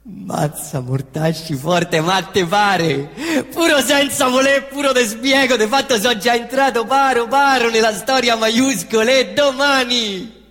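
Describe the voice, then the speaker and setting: A cocky Roman slum kid. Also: male voice, unhurried, sharp tone, big-room sound, full character voice. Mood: boastful. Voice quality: slangy, swaggering street talk. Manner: swings between defiant outbursts and low muttering. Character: young male Roman slum youth, cocky killer. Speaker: stage actor in a monologue. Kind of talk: theatrical monologue. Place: theatre stage.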